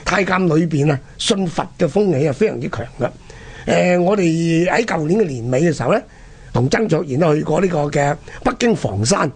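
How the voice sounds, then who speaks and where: A man with a Hong Kong Cantonese accent and a husky, gravelly voice, telling history conversationally and explaining it to the listener. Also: a little high, expressive, fairly quick. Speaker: co-host of a history programme.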